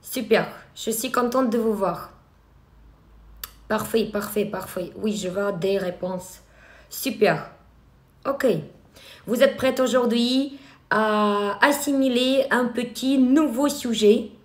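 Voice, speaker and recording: A woman's voice: fairly high pitch, slow. A woman from Ukraine with a Ukrainian accent, an eyelash extension trainer giving a live online workshop, hesitating often with filler sounds and restarts. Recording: echoey room.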